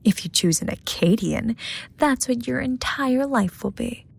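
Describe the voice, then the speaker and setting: Slow, breathy, seductive lust demon played by a woman. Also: on the high side, expressive, heavily acted. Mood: smug, impatient. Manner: slow, breathy, teasing, often dropping into whispers. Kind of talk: romantic ASMR audio roleplay.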